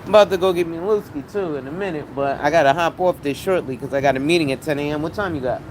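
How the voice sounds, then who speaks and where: A man's voice: fairly high pitch, racing. Blunt, slangy New man. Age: 40s. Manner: blunt, slangy, profane, rambling. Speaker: financial advisor, live streamer. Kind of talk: casual live-stream talk. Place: outdoors on a city street. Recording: street noise around.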